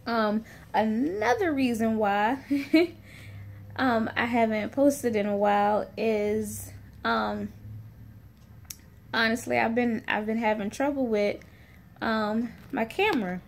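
A woman's voice: mid-range voice, a little hiss or hum. A woman, chatting casually and informally to camera like a family vlogger. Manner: informal, folksy, conversational. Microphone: phone camera at arm's length.

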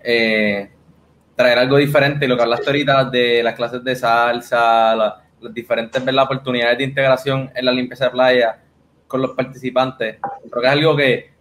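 A man's voice: medium pitch, flat delivery, moderate pace, fairly bright tone. A young man with a Puerto Rican accent interviewing casually as a podcast host, in informal, colloquial speech. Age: young adult. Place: indoor room on a video call. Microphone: studio microphone on a boom, headphones. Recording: faint background noise and ambience.

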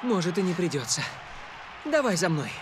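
A man's voice: sits high, big pitch swings, normal speed, crowd noise, noisy background. A man playing a haughty, fussy gourmet chef in a dubbed cartoon, pompous and theatrical, with music behind him.

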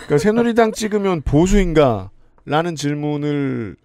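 A man's voice: on the high side, animated, normal speed, mellow, no room sound. A man hosting a talk podcast, trading dry jokes and asides in casual studio chat. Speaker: podcast host. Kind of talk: casual talk-show banter.

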